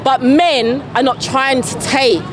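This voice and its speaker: A woman's voice: high voice, steady tone, takes it slowly. A woman in her forties, interviewed on the street, insisting on her point and repeating herself over the others in a heated debate. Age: 40s. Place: outdoors on a busy street. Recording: street crowd and traffic noise.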